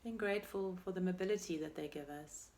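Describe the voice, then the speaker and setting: A woman leading a guided meditation as a teacher, speaking in a gentle, unhurried and soothing way with pauses between phrases. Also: lowish voice. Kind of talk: guided meditation, body scan.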